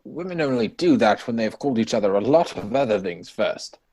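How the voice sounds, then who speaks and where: A man acting a witty, idle young Victorian gentleman in a stage comedy reading, arch and teasing, recorded at home over a video call. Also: lowish voice, animated, brisk. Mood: amused. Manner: arch, teasing, mannered phrasing.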